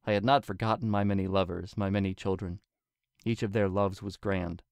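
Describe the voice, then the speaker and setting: Casting: male story narrator, lower register, earnest and deliberate dramatic delivery, dry sound. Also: steady tone, clean tone, no room sound. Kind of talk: dramatic scripted narration of fan fiction.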